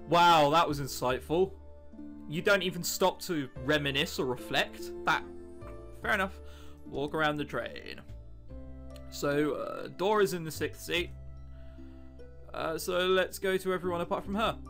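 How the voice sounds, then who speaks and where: A man's voice: on the high side, unhurried, vocal fry, pauses often, a bit bright. A man doing casual, sarcastic, mildly sweary commentary as he plays and reads out a visual novel, with game music behind him. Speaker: let's player. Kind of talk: casual joking gameplay commentary, reading visual novel text aloud.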